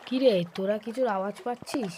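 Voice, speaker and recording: A woman's voice: on the high side, fast, background noise, natural voice. A woman playing a bossy young friend on a night picnic in a cartoon, giving informal orders. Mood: wary. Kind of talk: cartoon voice acting. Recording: music behind the voice.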